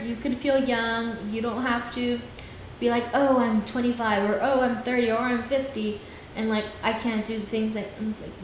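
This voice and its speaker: A young woman in her twenties talks alone on her podcast, earnest and loosely rambling, with lines that trail off into filler phrases. Her voice sits in a very echoey room, with a faint hiss underneath. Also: a little high. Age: 20s. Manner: earnest, rambling, frequent "things like that". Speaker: podcast host. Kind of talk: casual solo podcast talk.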